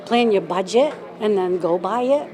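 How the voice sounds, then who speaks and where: A woman's voice: mid-range voice, lively intonation, moderate pace, music in the background. A woman giving unscripted answers as a shopper in a news interview. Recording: busy crowd behind the voice.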